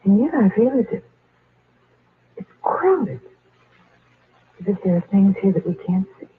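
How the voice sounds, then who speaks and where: An actress playing a curious, sensitive wife in an old-time radio drama. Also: female voice, medium pitch, big pitch swings, measured pace, breathy edge, exaggerated voice. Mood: uneasy. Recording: tinny, muffled old radio recording.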